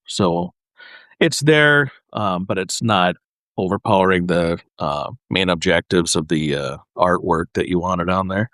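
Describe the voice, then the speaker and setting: Guy with a deep voice chatting on a podcast, pretty dry sound, explains stuff in halting bits. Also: fairly bright tone, dry recording. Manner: halting, pausing, piecemeal delivery. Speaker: podcast guest, custom paint artist. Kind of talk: casual explanatory chat.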